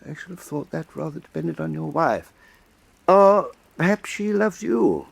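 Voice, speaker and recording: A man playing a suave, cynical Venetian official who teases and commands a painter, with a husky voice. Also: fairly high pitch, lively intonation, measured pace, heavily acted. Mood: silky menace. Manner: urbane, teasing, imperious. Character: suave cynical older male art patron, Venetian official. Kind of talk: radio drama acting.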